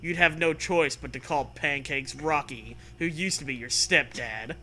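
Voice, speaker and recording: A man's voice: on the high side, a little slow, pushed and bright, slight background noise. A man hosting a comedic read-aloud, delivering a story theatrically and breaking off to riff and joke. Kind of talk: comedic dramatic read-aloud with casual banter.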